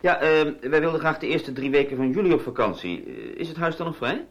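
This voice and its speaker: Patronizing husband, Netherlands Dutch accent, sarcastic, animated pitch, radio play. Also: male voice, a little fast, muffled recording. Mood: polite, hesitant. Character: bossy, sarcastic husband who needles his wife.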